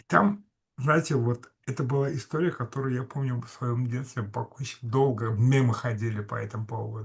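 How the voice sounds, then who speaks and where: A man hosting a political conversation, chatty and brisk as he adds his own memories to the discussion. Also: mid-range voice, vocal fry, sharp tone. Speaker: host.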